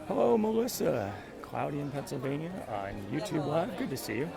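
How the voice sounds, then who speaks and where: A friendly man with a gravelly voice hosts a casual livestream while walking outdoors, commenting and greeting viewers by name. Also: fairly high pitch, sing-song, fairly quick, crowd noise. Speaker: livestream host. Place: outdoors in a busy theme park. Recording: outdoor park with loud background music. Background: background music.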